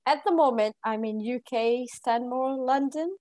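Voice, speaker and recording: A woman from Kenya being interviewed, answering in a friendly, halting way and giggling often. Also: high voice, darkish tone, dry recording, clean studio sound. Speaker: podcast guest. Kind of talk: casual interview chat.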